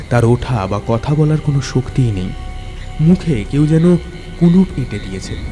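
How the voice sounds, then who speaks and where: A man tells a scripted horror tale as its narrator. His voice is mid-pitched and dramatic, with music clearly playing underneath. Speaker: storyteller, narrator.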